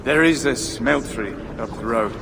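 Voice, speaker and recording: Man voicing gravelly, subdued dwarf. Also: medium pitch, normal speed, background noise, close to an everyday voice. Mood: solemn. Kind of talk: video game cutscene acting.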